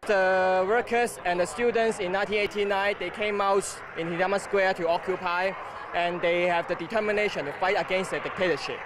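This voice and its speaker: High-pitched man on a noisy street. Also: fairly even intonation, fairly quick. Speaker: interviewed protester. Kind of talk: street interview with slogan-like political statements. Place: outdoors on a street. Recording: clear outdoor street and traffic noise.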